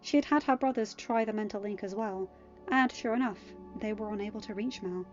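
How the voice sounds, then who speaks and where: A woman's voice: mid-range voice, fairly quick, dull audio, faint music behind. A woman narrating a scripted piece of fiction as an audiobook reader.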